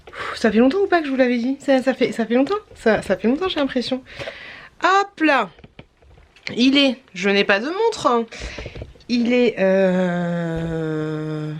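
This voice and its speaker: A woman's voice: a little high, expressive, a little slow, slight background noise. A young woman with a cold, talking casually to her vlog audience in a tired, stuffy voice, rambling with many fillers and restarts. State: has a cold. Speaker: vlogger.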